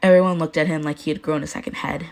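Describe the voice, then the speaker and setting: Casting: female audiobook-style narrator, lowish pitch, reading prose fiction from a script. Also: fairly even intonation, fast, darkish tone. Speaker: podfic narrator.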